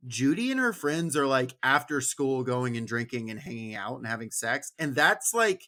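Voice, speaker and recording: A man's voice: medium pitch, very animated, moderate pace, bright, forceful tone. A man talking casually about a book in a wry, colloquial way. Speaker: podcast co-host. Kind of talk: casual book discussion with read passages.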